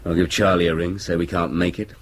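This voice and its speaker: A man's voice: low voice, level tone, quick pace. An actor playing a wry, easygoing British man supporting his partner in a radio drama. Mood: concerned, casual.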